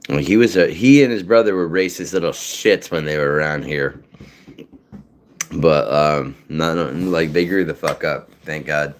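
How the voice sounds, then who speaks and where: Deep-voiced rambling man. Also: a little slow, slight background noise. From Massachusetts, North Shore. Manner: rambling, lots of fillers and swearing. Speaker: voice actor. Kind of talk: casual livestream chat.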